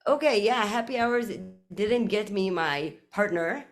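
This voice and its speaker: A woman guest on a podcast, telling stories in a casual, animated way with many fillers. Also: mid-range voice, a little slow. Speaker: podcast guest, community CEO. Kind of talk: casual conversational interview.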